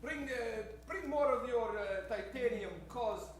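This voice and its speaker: A woman in improvised radio comedy, playing an earnest, boastful male Russian scientist with halting, florid delivery. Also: a little high, slight background noise, close to an everyday voice. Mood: earnest, intense. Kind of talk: improvised old-time radio comedy acting. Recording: very echoey stage.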